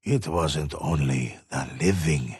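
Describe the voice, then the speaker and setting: An actor playing a grave old male storyteller in a video game, telling ghost legends in a solemn, ominous, measured way with a gravelly, husky voice. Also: very deep voice, expressive, a little mellow, dry recording, studio quality, heavily acted. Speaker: narrator.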